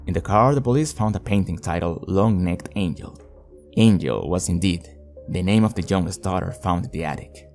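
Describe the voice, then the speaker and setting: A man with a deep, low voice narrates a horror series as host of a review video. His delivery is scripted storytelling with casual commentary mixed in, over faint background music. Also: animated, moderate pace, darkish tone.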